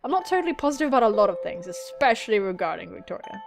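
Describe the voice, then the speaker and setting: A young woman hosting a game playthrough, excitable and giggly, switching between reading aloud and reacting. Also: medium pitch, racing, creaky, over music. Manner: excitable, squealing, giggly, swearing. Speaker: gaming video host.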